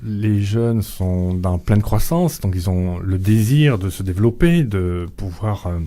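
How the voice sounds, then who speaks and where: A middle-aged man speaks as a radio interview guest, his voice low and his tone mellow. He talks slowly and hesitantly, with frequent fillers and restarts. He sounds calm and unhurried throughout. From Vietnamese family background. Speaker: author and guest.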